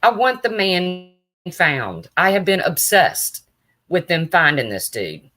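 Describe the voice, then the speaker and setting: A woman with a deep, raspy voice chatting casually on a live stream, answering viewers' comments by name as a true crime streamer. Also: sing-song, slow, a bit bright.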